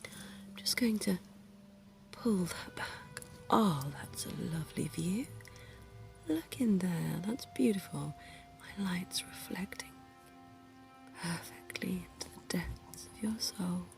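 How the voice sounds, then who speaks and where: A woman voicing a centuries-old, genteel female vampire dentist in an ASMR roleplay, speaking in a soft, posh, coaxing whisper. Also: fairly low pitch, takes it slowly, gravelly, pauses often, faint music behind. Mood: soothing.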